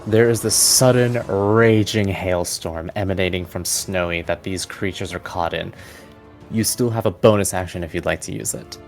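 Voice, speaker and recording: A man's voice: low-pitched, fairly even intonation, normal speed, gravelly. A man narrating a tabletop fantasy game as its game master. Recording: music playing behind. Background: background music.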